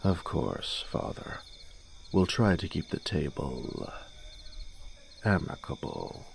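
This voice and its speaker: Man acting a low, creaky, teasing young nobleman. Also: very animated, takes it slowly, a little hiss or hum, heavily acted. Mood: polite, needling. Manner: smooth-tongued, formal, archly teasing. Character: teasing, urbane young nobleman, elder brother in fantasy court. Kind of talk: fantasy audio drama acting.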